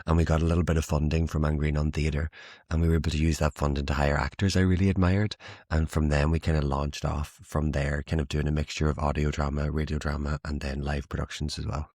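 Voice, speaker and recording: A young Irish man in his twenties, chatting in an interview as a theatre maker, self-mocking and quick to laugh. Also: extremely low pitch, level tone, a little mellow, dry recording, studio quality. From Ireland. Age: 20s. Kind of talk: casual interview chat.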